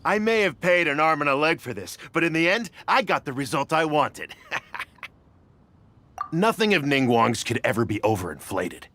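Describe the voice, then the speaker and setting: An actor playing an eager, smug male auction bidder in a video game, excitable and boastful. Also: on the high side, measured pace, exaggerated voice.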